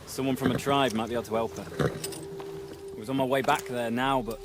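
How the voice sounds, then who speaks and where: A young man voicing an earnest, anxious young hero in a video game cutscene, shouting when danger comes. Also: medium pitch, fairly even intonation, brisk, music in the background, close to an everyday voice. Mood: subdued. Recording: loud orchestral game score behind.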